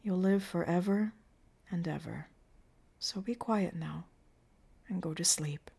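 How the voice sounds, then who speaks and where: A woman's voice: on the low side, slow, vocal fry, husky, exaggerated voice. A woman reading the part of a grieving male clown who comforts a dying girl in tender, soothing words. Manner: anguished, then hushed and soothing.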